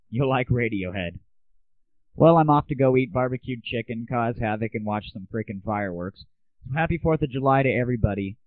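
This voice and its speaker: A man's voice: mid-range voice, dry recording. A young man hosting a casual solo audio show, opinionated and irreverent, with mild swearing. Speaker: podcast host. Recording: lo-fi phone-like audio, music underneath.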